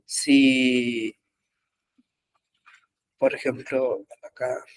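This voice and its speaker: A man teaching an online web development class, explaining code slowly with frequent pauses and fillers. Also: mid-range voice, flat delivery, dry recording. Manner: slow, halting explanation with many pauses and fillers. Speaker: programming instructor. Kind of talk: live online coding class. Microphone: computer mic in an online class.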